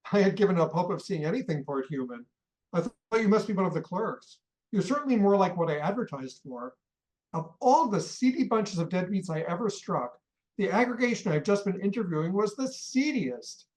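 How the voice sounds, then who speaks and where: An actor playing a brusque, impatient employer in a radio play, blunt, grumbling and slangy. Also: male voice, high-pitched, big pitch swings, fairly quick, a little mellow, poor audio. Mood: exasperated, blunt. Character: brusque, exasperated, impatient employer interviewing applicants.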